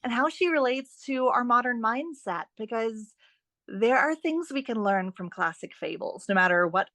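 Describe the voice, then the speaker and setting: A woman speaking as a guest author in a podcast interview, expressive and enthusiastic in a conversational way. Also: on the high side, normal speed, no room sound.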